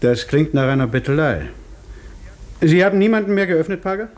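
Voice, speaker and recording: Police inspector, acted by a man: brisk, probing, higher-pitched male voice with animated intonation, vintage radio mystery. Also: natural voice. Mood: musing, suspicious. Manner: questioning, musing, authoritative. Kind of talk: 1950s German radio play acting.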